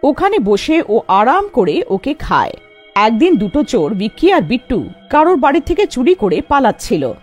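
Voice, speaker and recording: A woman narrating a scripted horror fairy tale for an animated video. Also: fairly high pitch, slightly rough.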